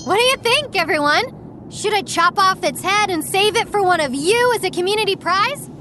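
An actress playing a cocky, bubbly young demon-slaying streamer in an anime-style audio drama, showy and playful. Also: female voice, very high voice, lively intonation, normal speed, bright, forceful tone, slight background noise, full character voice. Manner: showy, playful, addresses her fans.

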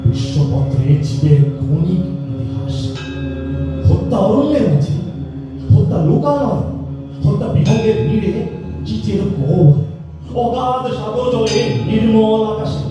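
A man's voice: sits high, expressive, unhurried. A man playing a fanatical, commanding temple priest in a verse stage drama, declaiming with grandiose emphasis. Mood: fervent, menacing. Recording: echoey hall, distant mic, music behind.

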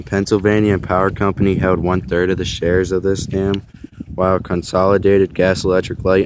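A young man casually narrating a tour of a river dam outdoors, filming on a phone, halting with many fillers and restarts. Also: deep voice, background noise. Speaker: vlogger filming a local landmark. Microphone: phone camera held while walking.

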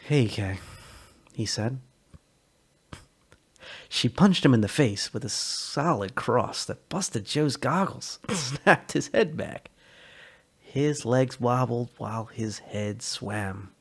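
A man narrating a science fiction short story as a dramatic reading, measured and expressive. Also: mid-range voice, breathy edge.

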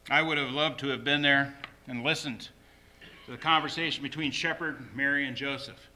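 A man's voice: medium pitch, steady tone, normal speed, sharp tone. A man preaching a sermon as a pastor, emphatically repeating short phrases.

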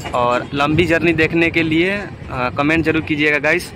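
A man's voice: fairly high pitch, moderate pace. Young man vlogging on a noisy platform. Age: young adult. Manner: chatty, addresses viewers directly, frequent hesitation fillers. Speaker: travel vlogger. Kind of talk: casual travel vlog. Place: railway platform and train carriage. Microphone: phone held at arm's length for selfie. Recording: noisy outdoor station and train sounds.

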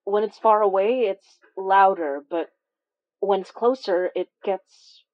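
A woman's slow, halting voice in a dry, slightly dulled recording, an actress in an audio drama. She plays it earnest and anxious, a protective investigator choosing her words. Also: mid-range voice, no room sound, highs cut off, natural voice. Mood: subdued, uneasy.

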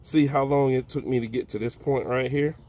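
An older man giving a relaxed, folksy how-to narration outdoors in a backyard while he works with his hands. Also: mid-range voice, fairly quick. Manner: folksy, relaxed, talks while plucking, calls out "baby". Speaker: backyard homesteader. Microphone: phone camera held close, hands-on filming.

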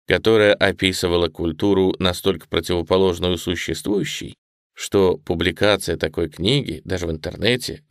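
A man narrating a first-person memoir audiobook in a reflective, expressive way. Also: sits low, no room sound, clean studio sound.

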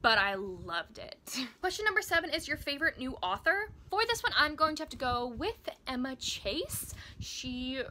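A woman in her twenties talks casually and emphatically, like a vlogger reviewing books. Her voice is bright and forward, sitting a little high. There is some noticeable noise behind her in the recording. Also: sing-song, moderate pace, few pauses. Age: 20s. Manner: enthusiastic, emphatic. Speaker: book vlogger. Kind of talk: casual chatty book review to camera. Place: small indoor room with bookshelves.

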